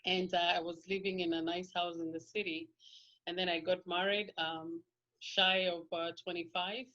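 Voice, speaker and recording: A woman's voice: on the low side, flat delivery, moderate pace, a bit bright, highs cut off. Kenyan woman telling her life story. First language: Kikuyu. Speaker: guest. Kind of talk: casual life-story interview.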